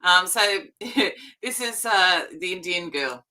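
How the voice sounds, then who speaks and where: Bright-voiced woman hosting. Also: lowish voice, expressive. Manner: chatty, emphatic, quick asides. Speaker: live stream news host. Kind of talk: casual news commentary.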